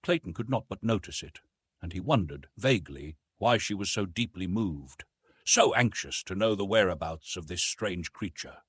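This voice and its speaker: A man narrating a classic novel in an expressive, literary storytelling style. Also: deep voice, moderate pace, breathy edge, dry recording. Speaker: audiobook narrator.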